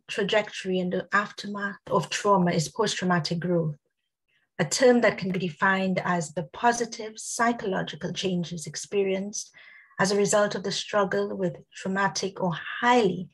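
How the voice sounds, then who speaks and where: Husky woman losing her voice. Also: on the low side, rough recording. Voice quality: husky, gravelly. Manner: measured, reads out lists with many ums. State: losing her voice, clearing her throat. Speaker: guest speaker. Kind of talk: prepared talk at an online summit. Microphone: video call.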